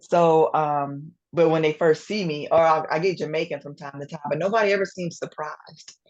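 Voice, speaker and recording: A woman in her thirties with a Southern US accent, a guest chatting casually on a video call from a room at home, laughing often and dropping to a soft voice. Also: low voice, steady tone, normal speed. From Atlanta, Georgia. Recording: muffled video-call audio.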